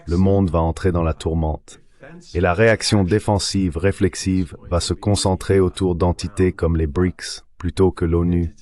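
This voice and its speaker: A man with a low voice delivers a voice-over translation. He speaks as an interview guest giving political and economic commentary. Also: a little mellow.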